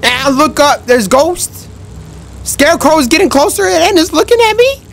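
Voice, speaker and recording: A young man commentating a horror game in a loud, excitable, trash-talking way, his voice going hoarse, recorded on a headset mic at a home setup. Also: very high-pitched, moderate pace. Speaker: gaming streamer.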